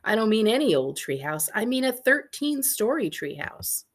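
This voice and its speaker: A woman's voice: a little high, very animated, normal speed. A woman, a librarian reading a children's book aloud with casual asides.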